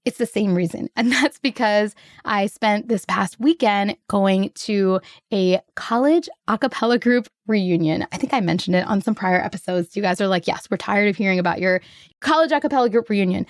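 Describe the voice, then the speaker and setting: A woman hosting her own podcast solo, slightly hoarse, talking in an upbeat, grateful, chatty way. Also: medium pitch, normal speed, no room sound, studio quality. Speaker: podcast host.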